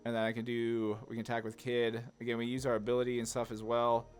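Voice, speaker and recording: A man with a mid-pitched voice talks casually through a game as he plays. He rambles informally, reasoning out each move aloud. Music plays behind him. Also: completely flat delivery, fast. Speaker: gaming content creator, player. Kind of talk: casual gameplay commentary, thinking aloud.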